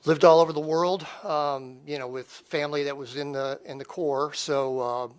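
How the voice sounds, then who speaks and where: A man's voice: a little high, fairly even intonation, normal speed, clean tone. A middle-aged man with a Midwestern American accent, introducing himself informally at a public meeting in a rambling way.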